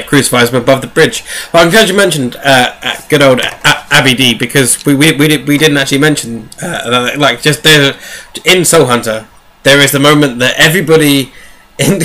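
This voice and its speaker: Bright-voiced, rambling enthusiastic man. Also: mid-range voice. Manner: casual swearing. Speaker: podcast co-host. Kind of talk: casual fan discussion of sci-fi novels.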